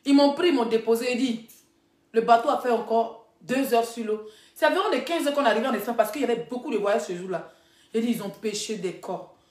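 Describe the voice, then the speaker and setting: A woman's voice: on the high side, a little fast, darkish tone. A woman telling stories casually to followers on a live stream, addressing them directly and repeating herself for emphasis. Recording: echoey room.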